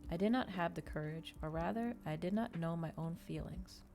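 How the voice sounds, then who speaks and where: A woman's voice: lowish voice, moderate pace, gravelly, warm tone, faint music behind. A woman reading a novel aloud in an ASMR audiobook style.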